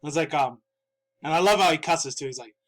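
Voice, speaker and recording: A man in his thirties talks gaming news from his own room, sounding a little stuffy from the dust. His voice sits somewhat high for a man. He rambles loosely, curses freely and chuckles between thoughts. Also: a little fast. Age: 30s. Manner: rambling, profane, laughing often. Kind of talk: casual video commentary.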